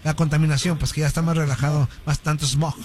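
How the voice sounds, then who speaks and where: This is a man with a notably high voice for his sex. He talks casually, as a host chatting on a radio show. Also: level tone, fast, darkish tone, slightly rough.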